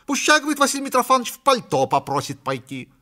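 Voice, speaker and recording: Man voicing an indignant, high-pitched prim lady. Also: big pitch swings, fast, bright, forceful tone, heavily acted. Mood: huffy. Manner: huffy, complaining. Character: indignant prim woman companion, scolding and embarrassed. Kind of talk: comic storybook reading.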